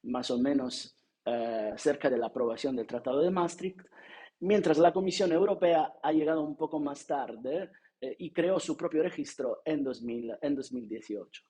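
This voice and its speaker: A man's voice: a little high, normal speed, mellow, husky. A man with an Italian accent whose first language is Italian, giving an academic lecture at a seminar in a hesitant way, with frequent filler sounds and restarts. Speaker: seminar speaker.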